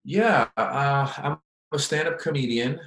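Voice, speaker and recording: A man's voice: medium pitch, measured pace, fairly bright tone, echoey room. A man in his forties from Iran with an American accent, a comedian talking as a podcast guest in a rambling way with many fillers. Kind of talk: casual interview chat.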